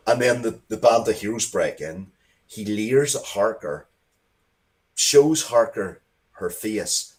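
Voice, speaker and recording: A man with a low voice talks as an interview guest. He tells stories with emphasis and rambles a little, often breaking off and starting a sentence again. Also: darkish tone. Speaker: guest, writer of Dracula media. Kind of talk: animated interview talk, storytelling.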